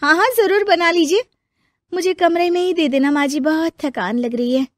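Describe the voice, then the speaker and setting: A young, overworked daughter-in-law played by a woman in a cartoon moral story. Her voice is very high and sounds close and dry. She talks in a chatty, exclaiming, homely way. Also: moderate pace, dry recording. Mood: complaining, defiant. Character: young overworked daughter-in-law, eager then weary and grumbling.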